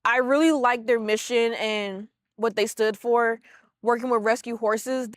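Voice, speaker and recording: A young adult woman volunteer speaking casually and a bit haltingly in a documentary interview. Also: a little high, steady tone, dry recording, clean studio sound.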